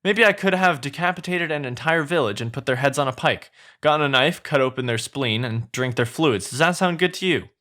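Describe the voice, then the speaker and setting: A man's voice: medium pitch, lively intonation, a little fast, few pauses, sharp tone. A man voicing a blunt, grumpy, sarcastic ogre in a fairy-tale story, speaking emphatically. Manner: exasperated, emphatic.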